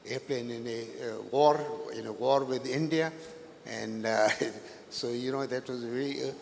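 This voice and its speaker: Older male guest speaker from Pakistan, halting and searching for words, mid-pitched voice, reverberant hall sound. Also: gravelly, a little mellow. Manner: hesitant, many fillers and restarts. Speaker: guest speaker, retired pilot. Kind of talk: halting live stage interview. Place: large event hall. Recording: long hall reverb, faint noise.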